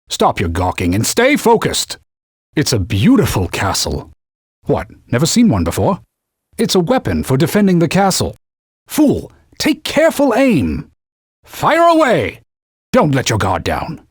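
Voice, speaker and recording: Bossy talking sword, stuffy and formal, bit husky, jumps way up high when panicked, game voice clips. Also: male voice, huge pitch swings, measured pace, no echo, clean studio sound, exaggerated voice. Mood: alarmed. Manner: pompous, formal and scolding, turns shrill when alarmed. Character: haughty talking male sacred sword, stern mentor. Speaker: sidekick guide. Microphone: dry studio mic.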